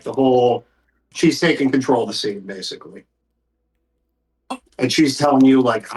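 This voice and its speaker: A man narrating a tabletop game as game master, improvising in a conversational, halting way and speaking for characters in plain speech. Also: medium pitch, low-quality recording.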